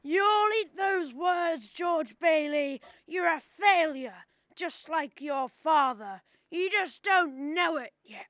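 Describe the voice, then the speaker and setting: A woman's voice: high-pitched, animated, takes it slowly, fairly bright tone, full character voice. A young woman playing a gloating, spiteful old rich man in a student radio drama. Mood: gloating, menacing. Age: young adult. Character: Mister Potter, old gloating, spiteful rich villain, male.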